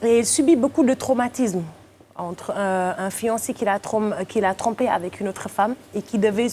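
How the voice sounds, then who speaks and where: A woman in her thirties, a guest actress on a talk show, speaking French as a second language in lively, laughing chat. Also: medium pitch, normal speed, a little hiss or hum. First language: not French. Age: 30s. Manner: lively, laughs often, animated when joking.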